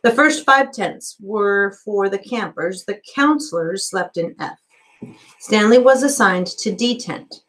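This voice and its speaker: A woman's voice: medium pitch, expressive, measured pace, a bit bright, low-quality recording. A middle-aged woman reading a storybook aloud as a teacher, carefully, in a small office. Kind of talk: storybook narration, read aloud. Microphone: computer microphone on a video call.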